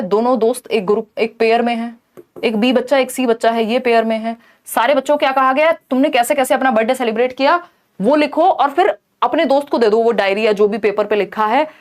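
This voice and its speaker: Young woman, high-pitched, exam-prep teacher, Hindi-English mix, slow read-aloud of questions. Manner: explains in Hindi mixed with English terms, reads quoted questions slowly.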